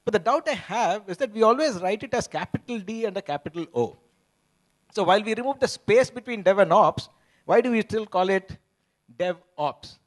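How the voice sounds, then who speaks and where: A man moderates a conference panel with a notably high voice. He speaks in a measured way and pauses often. The sound comes from a handheld microphone on stage. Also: expressive.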